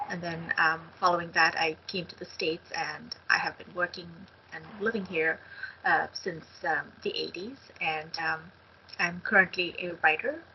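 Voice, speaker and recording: A middle-aged woman from India, co-author, talking on a video call from a home study with a headset, halting with many ums. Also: fairly low pitch, a little hiss or hum. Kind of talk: casual talk on a video call. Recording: dull lo-fi video call audio.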